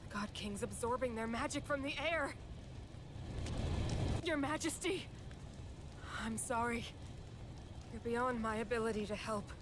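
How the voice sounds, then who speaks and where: Woman playing an earnest knight, slow and high-voiced. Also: background noise, lightly acted. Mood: shocked, anguished. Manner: earnest, solemn, dramatic. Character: loyal, earnest, duty-bound female royal knight. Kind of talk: dramatic video game voice acting. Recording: loud dramatic music behind voice.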